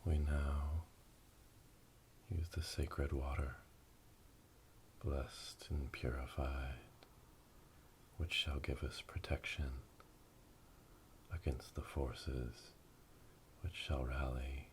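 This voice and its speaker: A man playing a solemn witch elder leading an initiation rite in a breathy, whispered ASMR roleplay, speaking slowly and ceremonially. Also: steady tone. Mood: solemn, hushed. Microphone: close binaural microphone. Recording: close binaural, rumbling noise, clicks.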